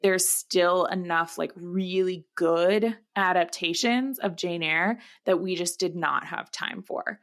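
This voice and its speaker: A woman co-hosting a podcast in casual conversation, pausing often mid-sentence. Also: fairly low pitch, a bit bright. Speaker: podcast co-host.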